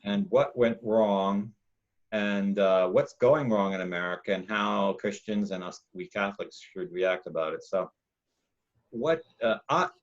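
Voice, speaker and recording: A man, a monk hosting a casual talk, telling a long personal story in a loud, emphatic, rambling way. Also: fairly low pitch, unhurried, muffled recording. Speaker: podcast host, monk.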